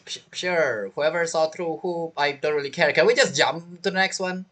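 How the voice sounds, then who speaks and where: A man giving casual reaction commentary over a game as a streamer, blunt, jokey and halting. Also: on the high side, pushed and bright. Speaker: gaming streamer.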